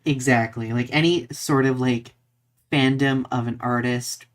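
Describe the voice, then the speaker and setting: A man's voice: mid-range voice, steady tone, unhurried. A man co-hosting a live podcast in a casual, conversational way, thinking aloud as he explains things. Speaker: podcast co-host.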